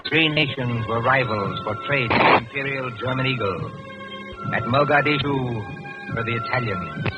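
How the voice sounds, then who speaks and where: A man narrating a radio drama in a theatrical, ominous 1940s style with deliberate phrasing. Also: medium pitch. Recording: muffled old radio recording, loud music behind.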